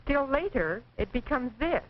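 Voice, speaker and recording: An American woman reading scripted 1940s training film narration in a precise, measured, instructive manner. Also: sits high, sing-song. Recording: dull, noisy 1940s film soundtrack.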